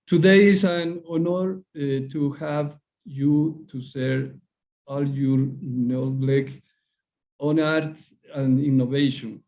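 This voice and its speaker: A man from Bolivia giving a slow, halting welcome in English as the organizer. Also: a little high, fairly even intonation, dull audio. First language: Spanish. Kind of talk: formal welcome.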